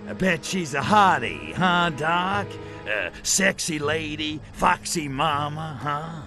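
Cocky american alien dude from a video game, cynical wisecracks, really animated voice, music behind. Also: male voice, fairly high pitch, takes it slowly. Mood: teasing, smug. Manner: sardonic, slangy American wise guy. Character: brash, wisecracking, cynical male alien invader. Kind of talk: video game cutscene acting.

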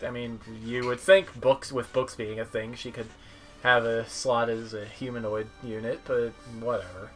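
A young man talks through a game in a casual, informal way. His voice has an average pitch, and his delivery rambles with a wry edge. Game music plays behind him. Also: steady tone, normal speed, a bit bright. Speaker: gameplay video commentator. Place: indoor room at home.

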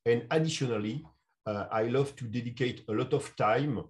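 A man, a consulting CEO answering interview questions over a video call. He speaks in measured, English. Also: mid-range voice. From France. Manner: measured, non-native English phrasing. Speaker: guest, insurance consulting CEO. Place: home room.

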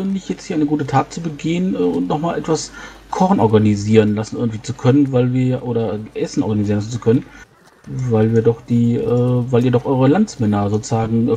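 A man improvising in-character talk as a player in a tabletop game, halting and thinking aloud. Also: medium pitch, warm tone, a little hiss or hum. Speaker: tabletop role-playing player.

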